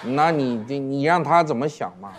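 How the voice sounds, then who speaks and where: A man's voice: medium pitch, normal speed, very noisy. A man on a comedy panel, joking in a self-deprecating way. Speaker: panel guest.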